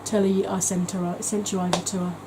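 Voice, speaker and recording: A woman's voice: fairly low pitch, flat delivery, fairly quick, background noise. A woman talking in a chatty, gushing way as a beauty vlogger, with frequent ums. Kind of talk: casual vlog chat.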